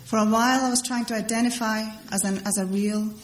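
A middle-aged woman speaks to a crowd in a fairly high voice. Her personal testimony is halting and rambling, with phrases repeated for emphasis. The room is clearly echoey, with faint noise behind her. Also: fairly even intonation. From Iceland. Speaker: speaker at a recovery meeting. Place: large meeting hall before a crowd. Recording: echoey hall with background noise.

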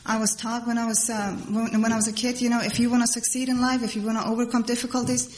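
A middle-aged woman from Iceland, giving personal testimony to a crowd in a halting, rambling way and repeating phrases for emphasis. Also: a little high, level tone. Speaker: speaker at a recovery meeting. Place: large meeting hall before a crowd. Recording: echoey hall with background noise.

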